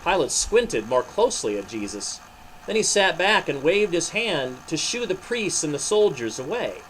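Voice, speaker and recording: A man's voice: fairly high pitch, very animated, normal speed, a bit bright, slight background noise, low-quality recording. A man, a pastor, reading a story and talking to children in a dramatic, emphatic way. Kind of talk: animated storybook narration and children's devotional.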